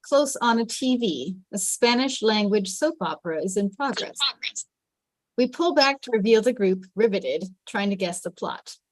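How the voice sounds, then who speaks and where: A woman's voice: medium pitch, lively intonation, moderate pace, no echo, poor audio. A woman reading scripted stage directions as narration at a table read.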